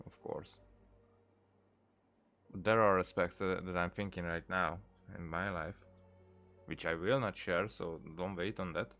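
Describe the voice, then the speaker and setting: Low voiced guy streaming a game, thinking out loud, halting and repeating himself, soft music behind. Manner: halting, musing, repeats words. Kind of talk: casual reflective let's play commentary. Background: faint game music.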